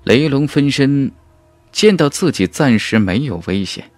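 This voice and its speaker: A man reading a fantasy novel as an audiobook narrator, in a dramatic, measured and emphatic storytelling manner. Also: mid-range voice, expressive, no echo.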